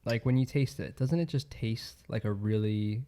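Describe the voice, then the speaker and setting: A man's voice: on the low side, moderate pace, warm tone. A man co-hosting a podcast in casual chat, sounding a little nasal from a cold, rambling with lots of fillers. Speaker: podcast co-host, esports player.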